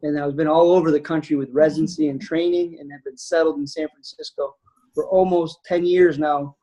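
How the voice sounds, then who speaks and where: A man's voice: a little high, steady tone, fairly quick, mellow, dull audio. A man in his forties, an anesthesiologist from the United States, speaking reflectively with many fillers as a guest on a webinar video call. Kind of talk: webinar talk.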